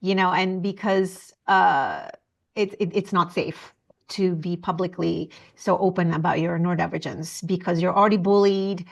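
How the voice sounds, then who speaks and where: A woman from Indonesia, speaking earnestly as a podcast guest, often pausing and restarting her sentences. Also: fairly low pitch, fairly even intonation, creaky, lo-fi sound. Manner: earnest, halting, with frequent fillers and restarts. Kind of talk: conversational interview.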